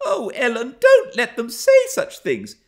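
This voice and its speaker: A man's voice: sits high, wildly swooping pitch, a little slow, voice pushed up, exaggerated voice. A man voicing a spoiled, imperious young gentry girl in an audiobook story, haughty and demanding. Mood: scornful, distressed.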